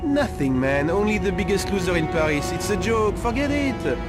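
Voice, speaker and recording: An actor playing a sleazy, nervous Paris street informant in a video game cutscene, talking in a halting, insinuating way. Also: male voice, high voice, very animated, over loud music. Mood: mocking, oily.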